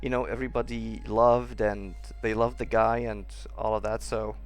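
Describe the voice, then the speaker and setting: A man's voice: fairly low pitch, level tone, normal speed, faint music behind. A man giving casual playthrough commentary as a gaming streamer, rambling and incredulous.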